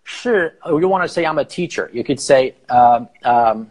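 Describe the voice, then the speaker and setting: A man in his thirties, a native Farsi speaker and English teacher, explaining slowly on a live video call through an earphone mic in a small room. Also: medium pitch, animated, darkish tone, low-quality recording. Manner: explains slowly, rephrasing with many fillers. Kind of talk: casual live video chat. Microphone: earphone headset mic on a video call.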